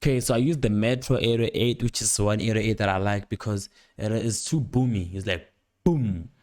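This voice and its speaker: A young man giving a casual, unscripted music production tutorial, rambling playfully in slangy speech with excited interjections. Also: fairly low pitch, normal speed, no room sound, studio quality. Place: indoor home studio at a computer. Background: loud instrumental beat and instrument sounds playing.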